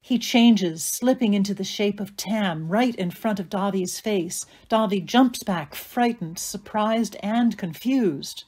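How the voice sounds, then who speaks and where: A woman performing as the narrator of a dark fairy tale audio play, in scripted dramatic narration. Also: medium pitch, takes it slowly, few pauses, husky. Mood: measured narration.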